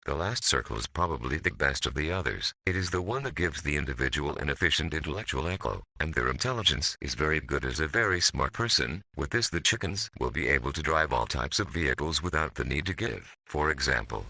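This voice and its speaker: A man's voice: very deep voice, animated, a little fast, vocal fry, hardly any pauses, pushed and bright, husky, no room sound, full character voice. A man voicing a detached military scientist, reading a formal, stilted analog horror narration. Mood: clinical, detached. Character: male military scientist coldly recounting his mutant experiments.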